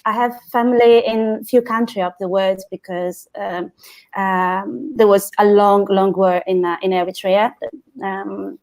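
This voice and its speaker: A woman's voice: mid-range voice, darkish tone. A woman from Italy with an Italian accent, a teacher speaking as a roundtable guest in hesitant English. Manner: hesitant, laughs between phrases. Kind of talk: roundtable discussion.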